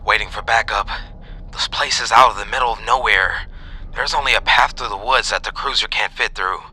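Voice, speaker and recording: A man's voice: on the low side, sharp tone, breathy edge, pitched above the performer's own voice, heavily acted, poor audio. A man playing an authoritative, matter-of-fact police officer on a call in a horror story. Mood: businesslike. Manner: authoritative, brisk.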